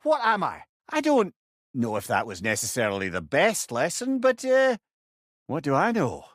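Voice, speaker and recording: A witty, fond old Scottish companion from a video game. His voice sits a little high and swings widely in pitch as he talks with wry, wordy eloquence. The sound is dry, free of any room. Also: wildly swooping pitch, moderate pace, fairly bright tone, no echo. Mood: wry, conversational. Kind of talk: video game character dialogue.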